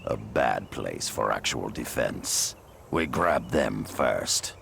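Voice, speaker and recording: An actor playing a grim, proud and vengeful beast-warrior rebel leader in a video game, with a deep gravelly growl and a terse, commanding delivery. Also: male voice, lively intonation, slow pace, a bit bright, slight background noise, full character voice. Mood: grim, measured. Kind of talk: dramatic video game acting.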